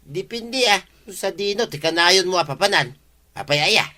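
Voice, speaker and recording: Sharp, curt, evasive husband. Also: male voice, high voice, animated, moderate pace, heavily acted. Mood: evasive, irritated. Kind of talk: Ilocano radio-style comedy drama acting.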